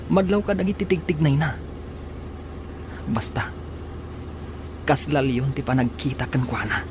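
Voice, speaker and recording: Jealous boyfriend, radio drama, man acting: medium pitch, young, worried, evasive; noticeable background noise. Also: animated, a little slow, natural voice. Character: anxious, jealous young male sweetheart. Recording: clearly noisy, engine-like ambience behind.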